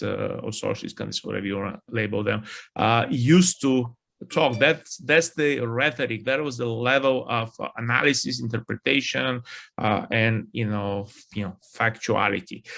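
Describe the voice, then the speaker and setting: A man in his forties, a media lecturer, asking a long, halting question from the floor with many fillers. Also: mid-range voice, creaky, fairly bright tone. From Bulgaria. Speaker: audience member, media lecturer.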